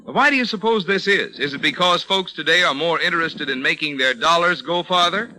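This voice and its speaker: A man's voice: fairly high pitch, brisk, bright, forceful tone, rough recording. A man working as a radio announcer, reading a scripted 1940s advertisement with emphatic salesmanship and rhetorical questions. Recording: old radio recording, faint noise.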